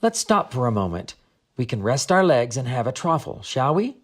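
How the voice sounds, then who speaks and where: A man's voice: mid-range voice, very animated, moderate pace. A man voicing a friendly woman guide in an audiobook story, patiently explaining things. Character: Greta, friendly woman guide explaining her land's customs. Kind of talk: audiobook character dialogue.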